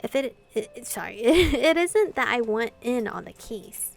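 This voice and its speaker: A woman doing an informal, expressive playthrough of a visual novel, reading and commenting as host. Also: fairly high pitch, measured pace, vocal fry. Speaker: gaming video host.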